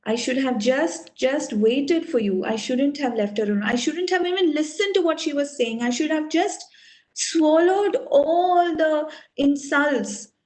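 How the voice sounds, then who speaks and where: Woman acting out guilt-stricken brother, high voice, regretful, self-blaming, repetitive, oral epic tale. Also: normal speed, few pauses, mellow, echoey room, natural voice. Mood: remorseful.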